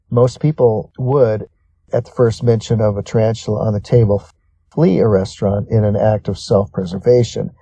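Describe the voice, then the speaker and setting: A man's voice: mid-range voice, dark tone, slightly rough, no room sound. A man narrates scripted reading material, working through it as a prepared article.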